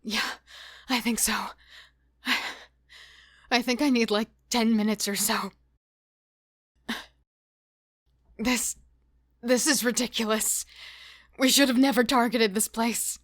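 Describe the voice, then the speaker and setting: A woman's voice: a little high, fairly even intonation, unhurried, pauses often, a bit bright, no room sound, clean studio sound. A woman playing a wary, devoted female killer in a horror audio drama, telling and living the story with tense emotion. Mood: drained. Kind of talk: first-person dramatic narration and horror audio drama dialogue.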